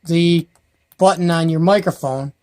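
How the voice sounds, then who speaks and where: A man's voice: on the high side, unhurried, darkish tone. An enthusiastic man hosts a casual gear review in a folksy, rambling way. He films at home by himself on a handheld camera mic. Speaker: host of a CB radio review channel. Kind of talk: casual product review and unboxing.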